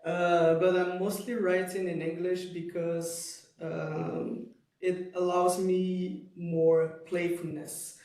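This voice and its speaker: Slow-spoken French-native man, echoey hall. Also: high voice, flat delivery, a little mellow, far from the mic. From Switzerland. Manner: thoughtful, self-correcting, playful asides. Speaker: poet and lecture guest. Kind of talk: panel discussion.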